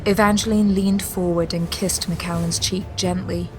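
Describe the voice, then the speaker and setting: A woman giving measured, scripted dramatic narration for a sci-fi audio drama. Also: on the low side, steady tone, a little hiss or hum. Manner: measured, descriptive storytelling.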